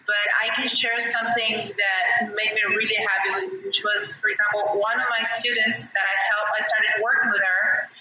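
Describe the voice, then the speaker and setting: A woman voice coach tells animated stories in an interview. Her tone is very bright and a bit dull in band. She sounds distant in a very echoey space. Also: mid-range voice, moderate pace, hardly any pauses, a little hiss or hum. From Argentina. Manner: animated storyteller who demonstrates voices. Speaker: voice and presence coach. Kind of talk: podcast interview guest.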